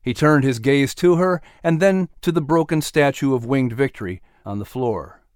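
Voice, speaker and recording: A man narrating a scripted story as an audiobook, in a measured, expressive storytelling manner. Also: mid-range voice, clear, resonant tone, no echo, clean studio sound.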